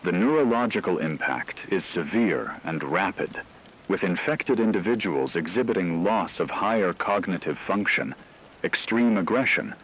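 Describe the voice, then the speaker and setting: A man playing a grave radio news anchor, delivering a scripted breaking report in a formal, measured, factual manner. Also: sits low, fairly bright tone, a little hiss or hum, heavily acted, low-quality recording.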